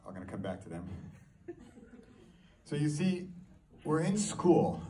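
A man performing a stand-up comedy set, telling a story in an animated way and throwing rhetorical questions at the audience. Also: a little high, measured pace. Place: live comedy show before an audience. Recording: live room, distant mic, audience noise. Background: audience crowd and laughter.

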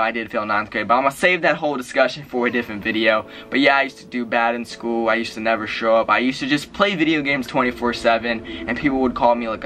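A seventeen-year-old boy talks straight into his webcam from his bedroom, in a bright, fairly high voice. He rambles along, filling every pause with "you know" and "like". A faint bit of music sits under the talk. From Maryland, USA. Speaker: YouTuber. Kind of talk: casual confessional vlog. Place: bedroom with game shelves, indoors. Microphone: webcam, close facing camera.

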